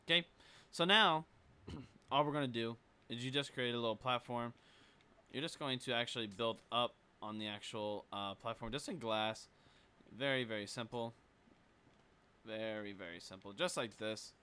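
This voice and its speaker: A man's voice: medium pitch, normal speed, pauses often, pushed and bright, clear, resonant tone. A young man with a sore throat, casually talking through a game building tutorial with plenty of fillers and restarts. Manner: casual, rambling, lots of fillers. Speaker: gaming tutorial creator.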